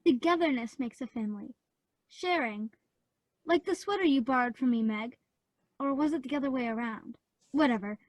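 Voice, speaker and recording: An actress playing a breezy, sassy teenage sister in a radio play. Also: female voice, high voice, moderate pace, long pauses, no room sound, rough recording.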